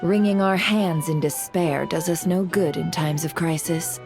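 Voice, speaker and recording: Casting: shrewd, businesslike game informant, lowish voice, composed and measured, music behind. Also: female voice, steady tone. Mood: firm. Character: composed, shrewd woman who trades in intel, businesslike. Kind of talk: video game character acting.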